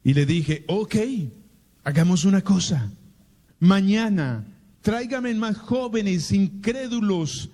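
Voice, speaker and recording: Male Colombian priest, paisa accent, slightly high-pitched, dramatic storytelling sermon, very slow delivery. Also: dark tone. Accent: Colombian paisa (Medellín).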